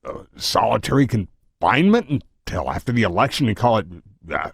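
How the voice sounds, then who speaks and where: A man playing a dim, callous, thuggish crooked cop in an audio drama, speaking in a halting, crude way. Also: fairly low pitch, very animated, vocal fry, slightly rough, no echo, studio quality, full character voice. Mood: scheming, unsure.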